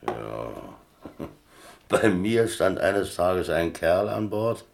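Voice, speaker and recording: A very low-pitched seasoned sea captain for a German radio drama. He is easygoing and boastful, telling his tales at leisure with the self-satisfaction of a born raconteur. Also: sing-song, very slow, creaky, exaggerated voice. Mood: wry storytelling. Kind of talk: German radio play dialogue.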